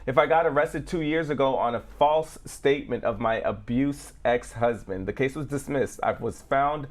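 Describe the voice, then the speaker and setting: A man's voice: mid-range voice, moderate pace. A man co-hosting a talk show, reading viewer questions aloud in a casual way.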